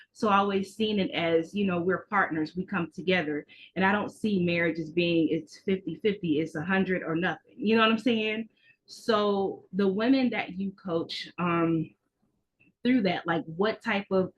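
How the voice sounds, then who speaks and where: A woman's voice: on the low side, a bit bright. A woman from the United States hosting a podcast, interviewing in a casual, conversational way. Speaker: podcast host. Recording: dull, band-limited call-like audio.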